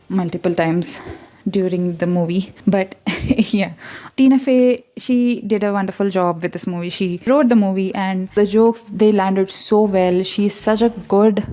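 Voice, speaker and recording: A woman's voice: mid-range voice, dark tone. A young woman from India whose first language is not English, chatting loosely in a voiceover over her painting, often giggling and correcting herself. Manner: rambling, chatty, frequent giggles and self-corrections. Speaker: art channel host. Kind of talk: casual voiceover over a speedpaint.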